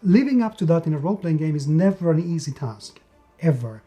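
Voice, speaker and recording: A man's voice: a little high, animated, brisk, dark tone, faint music behind. A man hosting a tabletop game review, speaking enthusiastically and stressing words by repeating them. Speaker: host and reviewer of tabletop role-playing games. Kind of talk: scripted-sounding video review.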